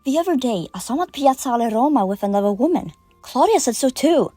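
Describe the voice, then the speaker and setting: Actress plays a sharp, gossipy young woman colleague; high, lively delivery. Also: fast talker, no echo, faint music behind. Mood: teasing. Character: clever, chatty young woman coworker. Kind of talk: audio drama acting.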